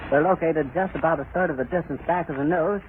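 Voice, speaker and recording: A man's voice: on the high side, quick pace, narrow, tinny audio, slight background noise. A man giving formal, descriptive live radio news commentary in 1930s style, on an old archive recording. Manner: formal, descriptive on-the-spot reporting.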